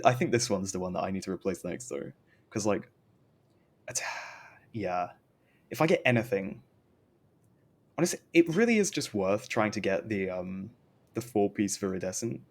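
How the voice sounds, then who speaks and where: A man chatting casually as he streams a game, rambling and often interrupting himself, with some noise on the recording. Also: on the low side. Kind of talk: casual gaming stream chat.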